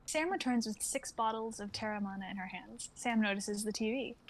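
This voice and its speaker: A woman's voice: on the high side, brisk, slight background noise. A young adult woman reading stage directions aloud in a dramatic script reading.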